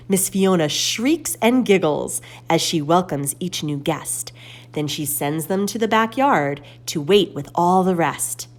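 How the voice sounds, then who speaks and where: A woman reads aloud to children as a storyteller. Her voice sits a little low and moves through lively, varied intonation. She takes her time, phrasing each line deliberately. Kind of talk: expressive children's read-aloud narration.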